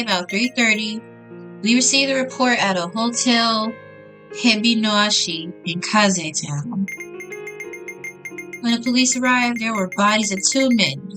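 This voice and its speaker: A woman's voice: medium pitch, low-quality recording. A woman reading visual novel text aloud as a gaming host, in an informal way with casual reactions. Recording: music behind the voice.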